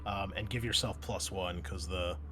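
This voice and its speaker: A man acting as game master, narrating a tabletop role-playing game in a casual style with deliberate dramatic pauses. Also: low-pitched, steady tone, fairly bright tone. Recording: music playing behind the voice. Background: music.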